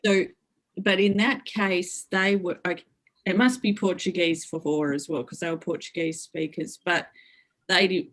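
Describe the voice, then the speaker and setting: A woman's voice: low voice, rough recording. A middle-aged woman lecturer being interviewed, thinking aloud with many fillers, restarts and hedges as she explains. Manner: thoughtful, lots of fillers and restarts. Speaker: interview guest, media lecturer. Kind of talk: academic interview talk.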